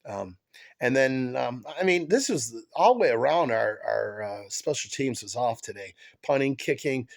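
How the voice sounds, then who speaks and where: A man with a head cold hosts a casual sports chat, his voice stuffy and nasal. He talks in a gravelly, creaky tone, stopping and restarting often. His delivery is halting and full of fillers. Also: mid-range voice. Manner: halting, many fillers and restarts, excited fan. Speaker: podcast host.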